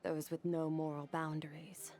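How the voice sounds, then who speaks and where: A woman playing a solemn, guilt-haunted young warrior in a video game, earnest and measured, with hushed tenderness. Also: deep voice, little pitch movement, slight background noise, natural voice. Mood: somber, reflective. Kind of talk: dramatic video game voice acting. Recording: loud music behind the voice. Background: music.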